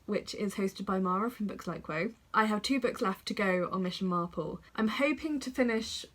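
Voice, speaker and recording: A woman's voice: mid-range voice, normal speed, a bit bright. A young woman with a congested, snuffly voice from a head cold, chatting casually to camera about the books she plans to read and often stopping to correct herself. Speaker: book vlogger.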